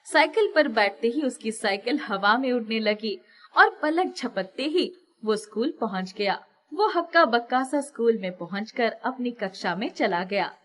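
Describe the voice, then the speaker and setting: Lively, higher-voiced woman storyteller. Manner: expressive storytelling. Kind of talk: scripted children's story narration.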